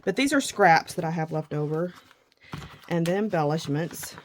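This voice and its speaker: A middle-aged woman with a husky morning voice, chatting casually and self-deprecatingly about her crafting supplies. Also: low voice, expressive, normal speed, a little hiss or hum. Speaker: scrapbooking vlogger.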